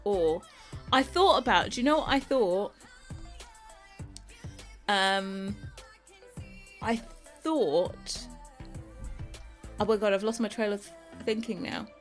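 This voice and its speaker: A woman's voice: mid-range voice, takes it slowly, pauses often, soft background music. Chatty British woman streamer. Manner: rambling, chatty, laughs often, loses her train of thought. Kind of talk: casual chat with livestream viewers.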